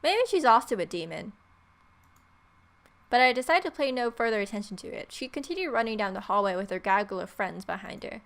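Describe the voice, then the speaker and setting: A young adult woman hosting a let's play, reading visual novel narration aloud in an irreverent, expressive way. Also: mid-range voice, fairly quick, vocal fry. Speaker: gaming video host.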